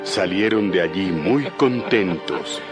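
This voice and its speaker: Deep-voiced, animated male Bible narrator. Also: takes it slowly. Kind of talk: scripted dramatized Bible narration. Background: music.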